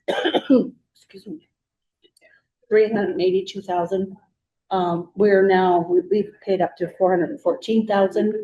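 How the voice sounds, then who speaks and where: Hoarse female staffer presenting finances, plain-spoken, mellow tone, dull lo-fi recording. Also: on the low side. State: hoarse, froggy throat with coughs. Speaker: staff member giving a financial report. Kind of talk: meeting presentation.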